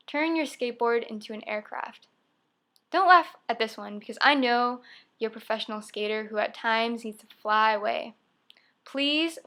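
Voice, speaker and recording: A young woman delivers spoken-word poetry. Her voice sits a little high and has a bright, forward edge. She recites expressively, breaking the verse into short, emphatic phrases. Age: young adult. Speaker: poet.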